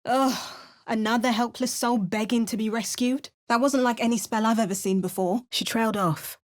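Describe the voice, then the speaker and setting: A woman's voice: on the high side, fairly even intonation, normal speed, lightly acted. A woman voicing a wry, battle-hardened adult adventurer who makes dry, sardonic asides and narrates her journey. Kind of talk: video game character barks and narration.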